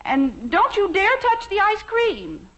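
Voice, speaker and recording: Sweet flustered American mother, expressive lilting pitch, radio sitcom, muffled vintage recording. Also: slow pace, full character voice. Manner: fluttery, placating, sidestepping. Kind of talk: 1950s radio sitcom acting.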